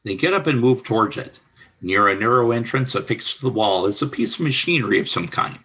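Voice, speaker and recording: A man's voice: medium pitch, animated, brisk, sharp tone, slightly rough, lo-fi sound. A man reading scripted scene narration for a radio play, describing the action in the present tense.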